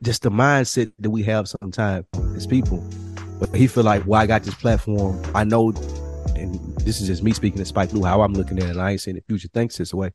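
A man co-hosting a casual podcast, talking in an animated way and climbing higher when he gets worked up. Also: brisk, over music. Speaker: podcast co-host.

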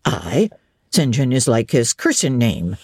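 A woman's voice: extremely low pitch, very animated, measured pace, a little mellow, breathy edge, dry recording, clean studio sound, pitched below the performer's own voice, full character voice. A woman voicing a plain-spoken, homely old servant woman with a Northern English dialect, in a dramatic audiobook reading. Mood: matter-of-fact.

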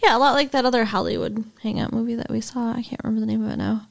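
A woman's voice: on the high side, racing, gravelly. A woman co-hosting a podcast, chatting frankly and casually about a movie. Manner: relaxed, brief reactions, frank. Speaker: podcast co-host. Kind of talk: casual movie review chat.

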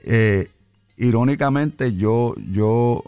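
A man raised in New York, a musician guest talking in a relaxed, hesitant way with many fillers. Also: medium pitch, very slow, clear, resonant tone, dry recording, faint music behind. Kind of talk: conversational interview.

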